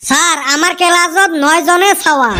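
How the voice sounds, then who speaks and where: Very high-pitched cheeky cartoon schoolboy. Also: female voice, normal speed. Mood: mock innocent. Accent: northern Bengali. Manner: sly, mock-innocent. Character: cheeky scheming schoolboy, master of tall tales. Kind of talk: comic cartoon dialogue. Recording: noisy track with clicks.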